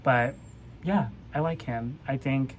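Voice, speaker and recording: Very deep-pitched young woman, background noise, relaxed book-vlog chat, informal hedging phrasing. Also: slow. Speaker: book vlogger.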